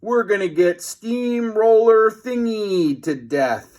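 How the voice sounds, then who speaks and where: Storybook boy in peril, done by a man: bossy, yelling, high and swooping. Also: expressive, slow. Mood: alarmed. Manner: yelling, urgent commands and exclamations. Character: urgent, bossy young schoolboy in peril.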